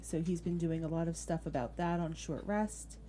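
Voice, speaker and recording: A woman with a fairly low, soft-edged voice talks casually, like a game master describing their projects. The tone is relaxed and mellow, and there is some noise behind the voice. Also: moderate pace. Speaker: tabletop game master promoting own shows. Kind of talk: casual chat.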